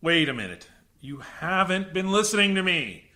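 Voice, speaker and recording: Sci-fi radio drama base commander, male actor: high-strung, forceful, swinging delivery, defensive yet earnest, emphatic protests. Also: very animated, normal speed, exaggerated voice. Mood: indignant, protesting. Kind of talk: radio science fiction drama acting.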